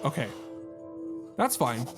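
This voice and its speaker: A man's voice: medium pitch, big pitch swings, slow pace, loud music behind the voice. A man giving casual let's-play commentary as a gaming host, talking to himself and poking fun at his own fumbles. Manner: self-deprecating, talks to himself while puzzling.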